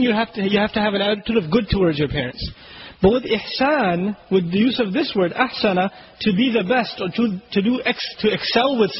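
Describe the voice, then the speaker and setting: Male preacher, native Urdu speaker, high voice, teaching Arabic word meanings; dull lo-fi recording with faint hiss. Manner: explains word meanings slowly, recites Quranic Arabic quickly. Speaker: Islamic preacher. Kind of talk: religious lecture.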